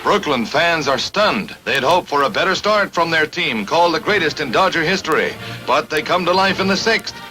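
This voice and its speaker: A man's voice: a little high, expressive, moderate pace, low-quality recording. An American man narrating a 1950s sports newsreel from a script in a punchy, dramatic, folksy showman's manner. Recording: vintage newsreel soundtrack with music. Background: loud background music.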